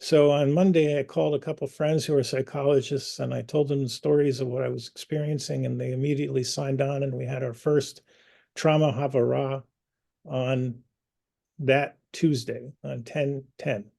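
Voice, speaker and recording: A man hosting an online community meeting, speaking earnestly and personally, pausing with fillers and restarts. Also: mid-range voice, gravelly, warm tone. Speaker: community group host.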